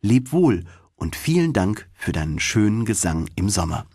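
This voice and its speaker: A tiny, kind-hearted girl from a storybook fairy tale, acted out by a male reader. The speech is tender and earnest, husky in tone, with an animated melody. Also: lowish voice, normal speed, no room sound, studio quality, full character voice. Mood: tender farewell. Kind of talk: storybook narration.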